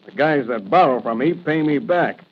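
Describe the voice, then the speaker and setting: A man's voice: mid-range voice, lively intonation, moderate pace, lightly acted. An actor playing a callous, menacing loan shark with sneering, slangy tough-guy talk in 1940s radio style. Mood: menacing, impatient. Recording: muffled, hissy vintage radio recording.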